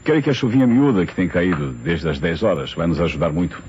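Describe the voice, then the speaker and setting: Low-voiced inspector, commanding and deductive, swooping intonation, vintage radio play with muffled sound. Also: male voice, big pitch swings, a little mellow. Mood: investigating, decisive. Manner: deliberate, deductive, theatrical. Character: commanding, analytical male police inspector. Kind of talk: old radio mystery acting. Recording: muffled, hissy old radio recording.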